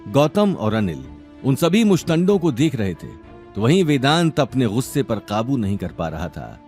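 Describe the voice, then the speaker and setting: A man's voice: medium pitch, very animated, moderate pace, a little mellow, soft background music. A man narrating a scripted audio drama series in a dramatic storytelling style.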